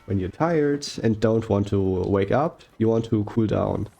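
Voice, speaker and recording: Young male gaming commentator, mellow tone, slow soft-spoken rambling delivery. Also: fairly low pitch, creaky, breathy edge. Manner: rambling with fillers and self-corrections. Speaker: gaming YouTuber. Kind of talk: relaxed gameplay commentary.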